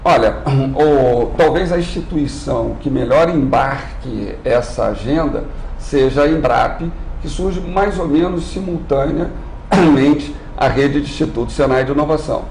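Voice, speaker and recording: A man, an institute executive on a live TV interview, speaking in a formal, measured way and often clearing his throat. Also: mid-range voice, a little mellow. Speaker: industry training institute executive. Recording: roomy with faint noise.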